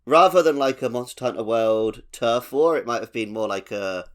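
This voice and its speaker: A man's voice: mid-range voice, moderate pace. A man co-hosting a casual video game podcast, explaining things in a conversational way. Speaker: podcast co-host.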